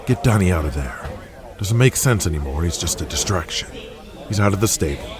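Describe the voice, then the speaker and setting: Actor as a deep-voiced, cynical wrestling promoter. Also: male voice, expressive, brisk. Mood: scornful, calculating. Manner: blunt, authoritative, lecturing. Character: cynical, foul-mouthed, commanding male 1980s wrestling promoter. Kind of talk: audio drama acting. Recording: crowd noise behind the voice. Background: arena crowd.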